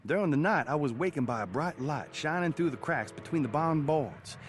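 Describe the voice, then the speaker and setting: A man's voice: fairly high pitch, lively intonation, brisk, faint music behind, close to an everyday voice. An actor playing a folksy man narrating memories of his rural boyhood in an old-time radio drama. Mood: reminiscent. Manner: plain-spoken, reminiscing storyteller.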